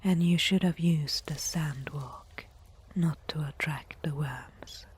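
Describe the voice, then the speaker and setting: A woman acts out a disdainful desert tribeswoman, a reluctant nurse in an ASMR roleplay. Her whisper is extremely husky and breathy, low and very unhurried. She phrases things formally, with stern pride. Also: heavily acted. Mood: stern, admonishing. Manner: slow, measured, formal phrasing.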